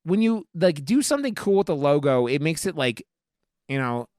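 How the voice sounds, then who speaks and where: A man's voice: fairly high pitch, big pitch swings, moderate pace, a little mellow, dry recording, clean studio sound. A man co-hosting a podcast, chatting casually about film in a rambling, emphatic, sweary way. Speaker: podcast co-host. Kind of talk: casual film review chat.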